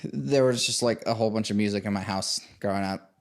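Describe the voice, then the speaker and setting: Rambling, lower-voiced man from Venezuela. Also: brisk. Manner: rambling, full of likes and fillers, laughs often. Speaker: composer and podcast host, interviewed as guest. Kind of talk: casual interview chat.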